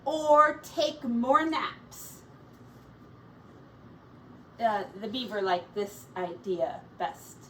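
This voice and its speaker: A woman with a high voice reads a picture book to young children at a slow pace. She is a teacher, gentle and simple in her explanations. The microphone sounds some way off from her. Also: lively intonation, far from the mic, slight background noise, low-quality recording. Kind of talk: storybook read-aloud with simple asides.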